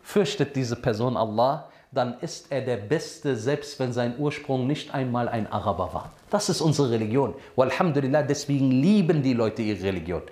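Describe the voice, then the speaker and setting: A man's voice: mid-range voice, lively intonation, normal speed, few pauses, a little mellow, echoey room. A man preaching a sermon lesson, asking his listeners questions and answering them himself, with Arabic phrases mixed into his talk. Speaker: Islamic preacher.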